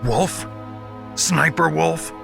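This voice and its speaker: An actor playing a gruff, cynical, battle-hardened covert soldier in a video game, terse and sardonic with a gravelly voice. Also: male voice, a little high, extremely slow, over music, full character voice. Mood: alarmed.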